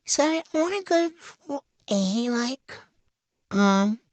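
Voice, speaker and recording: A woman's voice: fairly high pitch, animated, takes it slowly, a little mellow, no echo. A woman giving a casual, chatty show-and-tell voice-over in a hesitant, unscripted way that tends to trail off. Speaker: vlogger.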